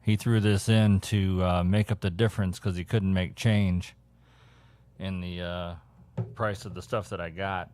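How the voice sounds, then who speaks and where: A man with a very deep voice talks in an easygoing, conversational way. He sounds like a hobbyist explaining gear during a casual radio chat. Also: flat delivery, measured pace, a little mellow, dry recording.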